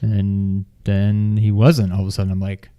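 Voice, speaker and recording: A male co-host chats informally about a movie in a slightly low voice. His delivery is halting and unscripted, and he often trails off before finishing a thought. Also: completely flat delivery, dark tone, lo-fi sound. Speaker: film review co-host. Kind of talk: casual unscripted movie discussion.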